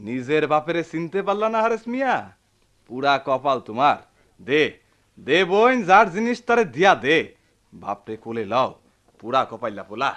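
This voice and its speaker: A sly village brother in a radio drama, sarcastic and protective. His fairly high voice swings widely and theatrically, taunting in a rural Bangladeshi accent. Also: very animated, normal speed, long pauses, fairly bright tone. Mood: mocking, indignant. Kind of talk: Bengali radio play acting.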